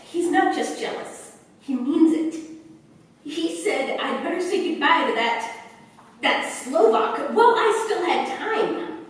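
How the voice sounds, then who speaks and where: Distraught daughter for radio melodrama, played by a woman: tearful, extremely high, swooping, ringing in a big hall. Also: animated, slow, heavily acted. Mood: tearful, upset. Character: distraught young daughter, emotional, frightened. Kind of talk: staged 1940s radio play melodrama. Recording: echoey hall, far from the mic.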